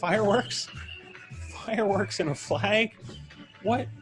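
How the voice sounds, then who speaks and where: A man's voice: high-pitched, slow, over music. A man hosting a live show with casual, jovial, playfully wry banter. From Canada. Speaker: host.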